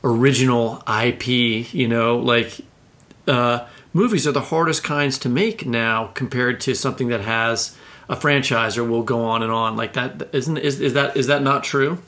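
A man's voice: mid-range voice, steady tone, normal speed, a bit bright. A man co-hosting a podcast, talking in a casual, conversational interview style. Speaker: podcast host.

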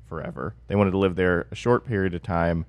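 A man's voice: low-pitched, a little fast, a little mellow, no echo. A man co-hosting a casual chat, with dry, sarcastic humor. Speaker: podcast co-host.

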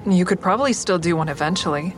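A woman's voice: lowish voice, quick pace, close to an everyday voice. A woman playing an awkward, smitten survivor who narrates her own story in an audio drama, confiding and self-deprecating. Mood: guarded, confiding.

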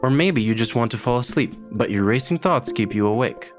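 A man's voice: mid-range voice, animated, fairly quick. A man reading a scripted podcast sponsor message, with music behind him. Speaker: podcast ad reader.

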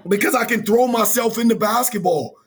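A man in his forties, a college basketball coach, talking earnestly in an interview with a voice that is going hoarse, often restarting his sentences. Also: high-pitched, fairly quick. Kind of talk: interview answers.

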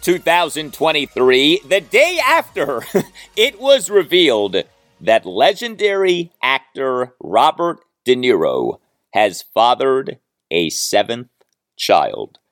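A man delivers a solo sports talk monologue as a podcast host. His pitch swings widely as he leans on key phrases with dramatic emphasis and rhetorical repeats. The sound is dry, with no room echo. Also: big pitch swings, takes it slowly, fairly bright tone, faint music behind.

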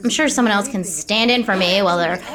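An actress playing a spoiled, mocking young stage star in an audio drama. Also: female voice, medium pitch, fast, a crowd in the background, close to an everyday voice. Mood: scheming. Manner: mocking, bratty, laughing. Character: spoiled young actress diva, bratty and scheming.

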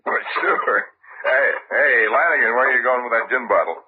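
A man's voice: medium pitch, normal speed, background noise, lightly acted. An actor playing a breezy, slangy young man drinking in a saloon in an old-time radio drama. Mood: offhand. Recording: tinny, band-limited old radio recording.

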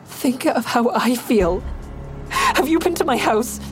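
An actress playing a frightened woman who tells her own ordeal in an audio drama, with tense, measured storytelling. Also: sits high, wildly swooping pitch, exaggerated voice. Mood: frightened, defensive. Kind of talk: dramatic first-person narration and dialogue. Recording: music behind the voice.

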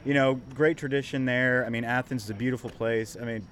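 A man hosting a casual sports podcast, talking in a relaxed, rambling way with lots of fillers. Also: mid-range voice, brisk. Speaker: podcast host.

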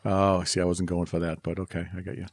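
A man's voice: low-pitched, level tone, quick pace, no echo, studio quality. A middle-aged man co-hosting a music podcast with his son, chatting in a rambling, friendly way with lots of restarts. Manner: chatty, rambling with restarts, corny dad jokes. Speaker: podcast co-host. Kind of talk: casual podcast chat.

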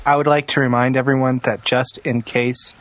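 A man's voice: medium pitch, flat delivery, moderate pace, fairly bright tone. A man co-hosting a podcast in casual banter, with a wry, joking manner. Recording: music clearly behind the voice.

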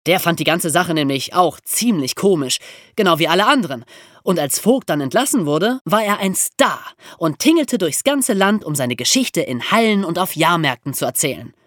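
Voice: mid-range voice, expressive, normal speed, a bit bright, no room sound, studio quality. A podcast presenter explaining history as a story, with dramatic emphasis and rhetorical questions.

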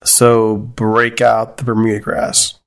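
A man's voice: fairly low pitch, monotone, takes it slowly, vocal fry, fairly bright tone. A man hosting a casual podcast chat, easygoing and chatty, asking his co-host open questions.

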